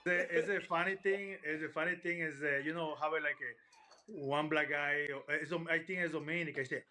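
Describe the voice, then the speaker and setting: A man speaking in an interview clip, halting and full of fillers. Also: fairly high pitch, fairly even intonation, sharp tone.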